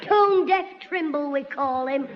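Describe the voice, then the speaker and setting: A man playing a cheeky, scheming schoolboy, firing off quick wisecracks in a 1960s radio sitcom. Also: extremely high pitch. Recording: dull hissy old radio recording with reverb.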